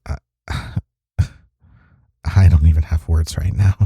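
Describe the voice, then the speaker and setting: Man acting a deep, dark-voiced romantic rival. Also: fairly even intonation, no room sound, heavily acted. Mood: breathless, awed. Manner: hesitant, halting, breathy sighs and murmurs. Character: young male coworker rival confessing love, teasing and tender. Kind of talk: intimate romantic audio roleplay acting.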